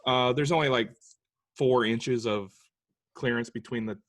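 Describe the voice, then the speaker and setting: A man chats loosely as a podcast co-host, wandering through his thoughts and chuckling as he goes. He sounds wired on coffee, and his voice sits at an everyday pitch on a dry, close recording. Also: unhurried, a bit bright, no room sound. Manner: rambling, chuckles between phrases. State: hopped up on coffee. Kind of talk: casual chat.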